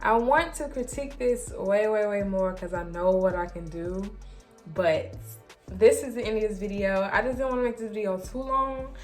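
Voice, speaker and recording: A young woman chatting casually to the camera as a vlogger, animated, slangy and emphatic, often repeating herself. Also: mid-range voice, normal speed, faint music behind. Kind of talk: casual storytime chat to camera.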